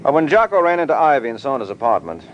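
An actor playing a cool, wry private detective who needles people in a 1950s radio drama. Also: male voice, mid-range voice, very animated, fast, muffled recording, natural voice. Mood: confident, explaining. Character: wisecracking, cool male private detective, insistent and flirtatious. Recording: old radio recording with faint noise.

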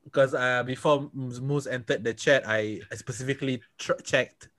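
A man's voice: mid-range voice, fairly even intonation, normal speed, fairly bright tone, no room sound. Singapore guy on a podcast, chatty, lots of "like" and laughs, dry mic sound. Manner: laughing, self-deprecating, chatty with filler words. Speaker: podcast co-host. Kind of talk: casual chat. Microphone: handheld microphone over a video call.

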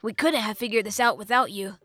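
A woman voicing a calm, earnest young male hero, sincere and good-natured, in a storybook narration. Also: mid-range voice, a little fast, dry recording, close to an everyday voice. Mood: grateful.

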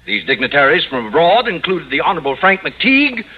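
An actor playing a stern, formal, authoritative school board official in 1950s radio comedy. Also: male voice, on the high side, very animated, brisk, slightly rough. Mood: formal, self-assured. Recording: muffled old radio recording.

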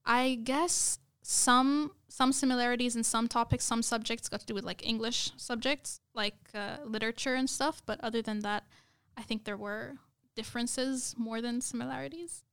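A young woman with a fairly high, clear, smooth voice speaks as an interview guest. She is earnest and effusive, talking conversationally and doubling words for emphasis. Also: steady tone, normal speed, fairly bright tone. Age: young adult.

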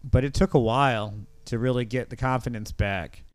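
A man's voice: medium pitch, moderate pace, smooth, no echo. A middle-aged man hosting a podcast, telling stories in a casual, earnest and reflective way. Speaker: podcast host.

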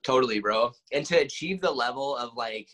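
A man's voice: medium pitch, a little fast, a bit bright. A man in his late twenties talking bluntly on a podcast, swearing and calling everyone "bro.". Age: 20s. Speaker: podcast guest. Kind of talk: casual podcast chat.

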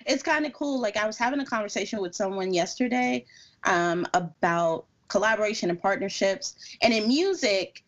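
A woman's voice: mid-range voice, moderate pace, muffled recording. A woman co-hosting a podcast in casual chat, asking rambling, informal questions with salty language. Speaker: podcast co-host.

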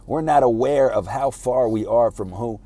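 A man giving an informal motivational lecture in a halting, conversational way, often repeating words and posing rhetorical questions. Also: medium pitch, dark tone. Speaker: speaker giving a self-improvement talk.

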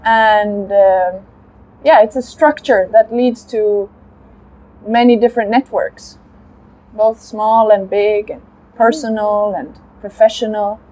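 A woman's voice: mid-range voice, steady tone, slow pace, dark tone, rough recording. A woman who works in urban planning, answering interview questions in an articulate, structured way. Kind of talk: casual street interview. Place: outdoor fair in a park.

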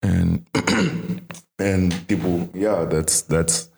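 A man's voice: low-pitched, animated, very slow, gravelly, darkish tone, a little hiss or hum. A young man hosting a casual talk, switching between English and Portuguese mid-sentence. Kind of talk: casual chat.

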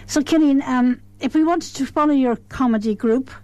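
Irish woman, radio host, fairly high voice, friendly follow-up questions. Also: normal speed, dark tone. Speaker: radio arts show host. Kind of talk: radio interview.

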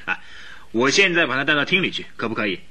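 An actor playing a stern, respectful police inspector in a Mandarin radio drama, speaking in a brisk, commanding way. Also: male voice, mid-range voice, very animated, pushed and bright, exaggerated voice. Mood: respectful, approving.

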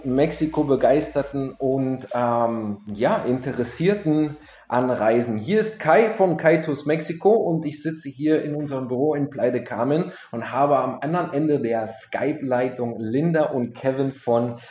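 A man's voice: mid-range voice, warm tone, echoey room, muffled recording. A man hosting a travel podcast from his office, talking casually with many fillers and restarts. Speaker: podcast host, tour operator. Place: office, talking over a call.

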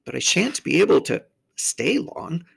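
Man voicing an innocent young girl, lightly raised pitch. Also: big pitch swings, measured pace, vocal fry, a bit bright, slightly rough, exaggerated voice. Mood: polite, hesitant.

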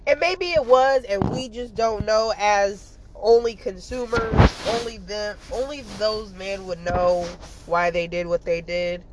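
A woman's voice: medium pitch, a little slow, hardly any pauses, background noise. A woman with a stuffy nose giving a casual, blunt commentary monologue as a podcast host, rambling and full of fillers and swearing.

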